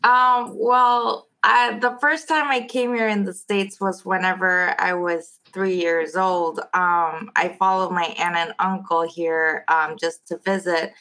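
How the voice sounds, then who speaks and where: Woman from South Korea, lowish pitch, very bright tone, unhurried casual answers. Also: steady tone. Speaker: guest, nurse.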